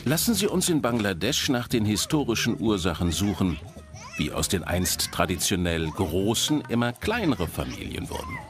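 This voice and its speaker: A man's voice: on the low side, wildly swooping pitch, moderate pace. A man reading scripted documentary narration.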